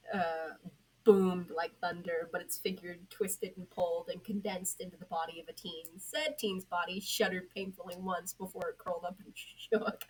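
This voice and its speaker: A woman narrating scripted fiction in an amateur style, a bit halting. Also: medium pitch, slightly rough, rough recording. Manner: halting, prompts co-reader with corrections. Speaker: podfic narrator.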